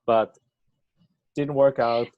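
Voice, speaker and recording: A man's voice: mid-range voice, fairly even intonation, slow pace, dry recording, highs cut off. Swiss German man, close dry sound. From Switzerland. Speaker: podcast host. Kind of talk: casual interview chat.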